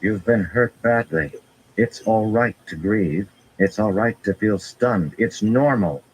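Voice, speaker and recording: A man's voice: fairly low pitch, slow pace, slight background noise, voice pushed up, rough recording. A man voicing a fierce, stubborn woman healer who talks brusquely, almost growling, in an audiobook. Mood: urging. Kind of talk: audiobook character voice.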